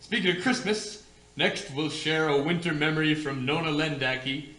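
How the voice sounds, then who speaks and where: Male community radio announcer, slightly high and bright, scripted promo read. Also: steady tone, normal speed. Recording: roomy, echoing space.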